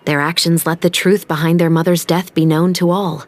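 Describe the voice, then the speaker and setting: A woman playing a composed, principled young musketeer officer in a video game, speaking in a measured, formal, earnest way. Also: fairly low pitch, fairly even intonation, close to an everyday voice. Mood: solemn storytelling. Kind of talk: dramatic game voice acting. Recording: music behind the voice.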